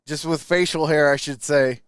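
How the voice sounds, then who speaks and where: High-pitched man hosting a sports stream. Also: fairly even intonation, moderate pace, smooth, dry recording, studio quality. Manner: colloquial, die-hard fan talk. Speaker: live stream host. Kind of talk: casual live sports commentary.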